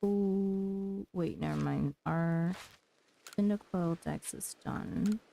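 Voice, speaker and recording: A woman's voice: medium pitch, slow pace, creaky, warm tone. A woman giving casual livestream commentary on a video game, muttering to herself as she plays.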